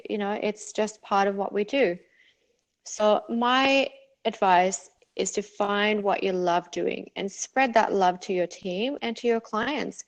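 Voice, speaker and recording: A middle-aged woman from Norway with a faded Norwegian accent, a clinic owner answering interview questions in a chatty way, with many fillers and restarts. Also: mid-range voice. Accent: Norwegian, partly faded.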